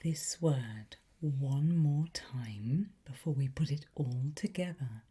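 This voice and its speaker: A woman doing a whispered ASMR teacher roleplay, softly and deliberately drawing out and enunciating her words. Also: very deep voice. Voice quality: breathy, soft whisper. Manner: slow, deliberate, drawn-out enunciation. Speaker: ASMR roleplay host as a teacher of demonic Latin incantations.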